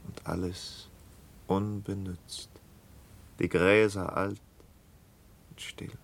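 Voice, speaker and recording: An actor playing a brooding, haunted killer who confesses in halting fragments in a literary radio drama, sometimes close to whispering. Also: male voice, low-pitched, level tone, vocal fry, full character voice. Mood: bitter, haunted. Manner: halting, intense, dropping into near-whispers.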